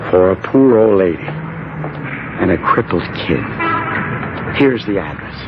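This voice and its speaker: An actor playing a desperate, gullible husband in a 1970s radio drama, pleading and insistent in an agitated way. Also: male voice, on the low side. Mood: earnest, worried. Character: desperate, gullible working-class husband wrongly suspected of bribery. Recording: dull, noisy vintage radio broadcast.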